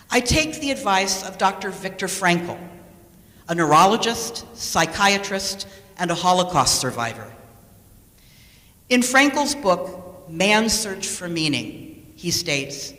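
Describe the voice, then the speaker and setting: A woman reading a scripted sermon as a lay speaker, measured and deliberate, pausing for emphasis. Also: mid-range voice, a bit bright. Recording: echoey hall with long reverb.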